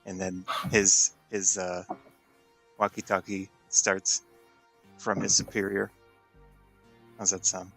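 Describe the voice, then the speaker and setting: Guy playing an rpg, lowish monotone, deadpan casual improv, bit of music behind. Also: slow, pauses often, husky. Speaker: tabletop role-playing player.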